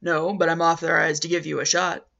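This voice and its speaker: Woman acting a gruff, forceful hospital orderly. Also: low voice, level tone, fairly quick, highs cut off, heavily acted. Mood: gruff, bullying. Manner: blunt, forceful. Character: beefy gruff hospital orderly man, bullying, threatening.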